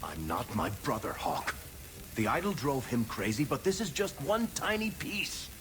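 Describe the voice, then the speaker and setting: A man's voice: mid-range voice, wildly swooping pitch, normal speed, fairly bright tone, a little hiss or hum. A man voicing a wry, loyal dwarf rogue and storyteller in a video game cutscene, with a dry, sardonic manner. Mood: urgent, driven.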